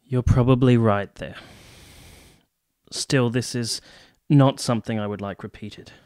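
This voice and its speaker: A man acting a courteous, reserved young army captain in a radio play, speaking in a formal, measured, literary way. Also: mid-range voice, steady tone, darkish tone, clear, resonant tone, lightly acted. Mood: polite, guarded. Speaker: actor and narrator in a radio play. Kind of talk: literary first-person narration and radio drama dialogue.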